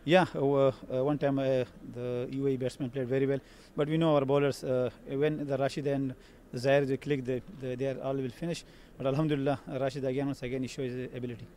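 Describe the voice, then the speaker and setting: A cricket captain from Afghanistan with an Afghan accent, giving a halting post-match interview full of fillers and restarts, outdoors at the ground. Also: male voice, medium pitch, flat delivery, dark tone, slight background noise. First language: Pashto. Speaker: team captain.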